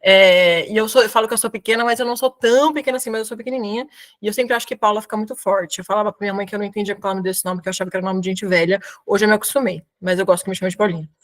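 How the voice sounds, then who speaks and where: A woman in her thirties with a São Paulo accent speaks at a medium pitch. She gives a relaxed, informal webinar talk, full of slang and hesitant fillers. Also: very fast. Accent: São Paulo Portuguese. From São Paulo, Brazil. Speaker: guest speaker presenting to a professional community. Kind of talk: casual live webinar talk.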